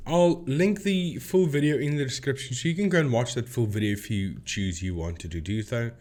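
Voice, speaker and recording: A man's voice: medium pitch, normal speed, darkish tone. A man hosting a video channel, explaining things informally and often stopping to rephrase himself. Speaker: host of a paranormal debunking video channel.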